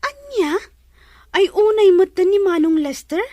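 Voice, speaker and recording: Woman acting as catty young sister, super high voice, sneering, dry close sound. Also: unhurried, mellow, no echo. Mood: incredulous, mocking. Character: catty, disbelieving young daughter, sneering at brother's girlfriend. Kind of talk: Ilocano audio drama acting.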